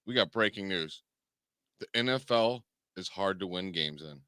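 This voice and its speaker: A man co-hosting a sports talk show, excitable and jokey with slang, tired and close to losing his voice. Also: deep voice, moderate pace, smooth, dry recording. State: tired, voice nearly gone.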